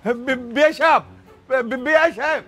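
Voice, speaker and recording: A man's voice: mid-range voice, animated, normal speed, a little mellow, slightly rough, highs cut off, soft background music. A man acting a servile, shamefaced older father-in-law in a family drama, apologetic, with a Bangladeshi accent. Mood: pleading. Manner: obsequious, apologetic, pleading.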